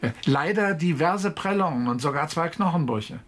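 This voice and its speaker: An actress playing an apologetic, reassuring hospital worker in a naturalistic German radio drama. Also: female voice, deep voice, lively intonation, normal speed.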